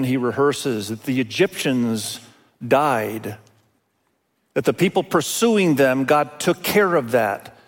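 A man from Indonesia, a native Indonesian speaker, preaching a sermon as a pastor in an emphatic way with deliberate pauses and repetition. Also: mid-range voice. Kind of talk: expository sermon. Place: large hall, speaking to a congregation. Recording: reverberant large hall sound.

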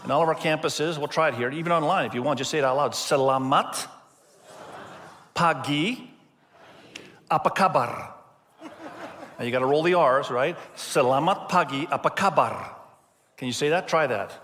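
A man's voice: a little high, normal speed, pauses often, fairly bright tone, a little hiss or hum. A man who grew up in Indonesia, preaching an earnest, emphatic sermon to a church gathering. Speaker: pastor. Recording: long hall reverb.

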